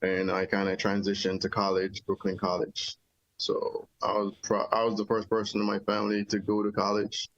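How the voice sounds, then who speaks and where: A man's voice: fairly low pitch, normal speed, dull audio. A middle-aged man from Jamaica, an army veteran telling his story in a casual interview, rambling easily with many fillers. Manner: relaxed, rambling with many "you know" fillers.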